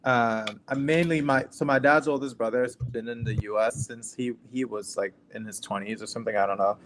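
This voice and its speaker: A man's voice: medium pitch, fairly even intonation, a little fast, a bit bright, a little hiss or hum. A young man from Cameroon speaking as a physician guest in an interview, telling his story in an informal, conversational way. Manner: informal, conversational, often says "like" and "right?". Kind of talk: casual personal storytelling in an interview.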